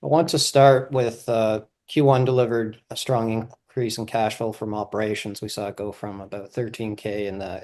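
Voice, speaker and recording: A man's voice: fairly low pitch, darkish tone. A man moderating investor questions on a call in a businesslike, semi-scripted way.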